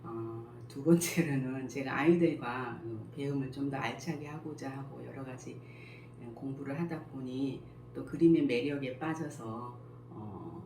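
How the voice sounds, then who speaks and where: A woman in her sixties, a school principal and picture book author, answering interview questions in a slow, modest, measured way. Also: extremely low pitch, warm tone. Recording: echoey room, faint background noise.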